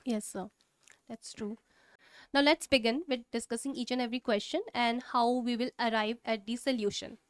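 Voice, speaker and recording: A woman's voice: fairly high pitch, steady tone, moderate pace, no room sound, studio quality. A woman with an Indian accent hosting an educational discussion in a deferential manner. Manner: deferential, frequent "yes, sir".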